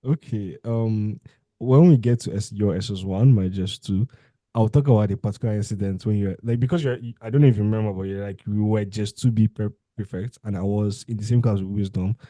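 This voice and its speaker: A young man hosts a podcast and feels his way through questions full of "like" and "um". His voice is mellow and mid-pitched, and it sounds dry and close. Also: a little fast, dry recording. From Nigeria. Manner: hesitant, circling questions full of "like". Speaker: podcast host. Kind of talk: casual conversational interview.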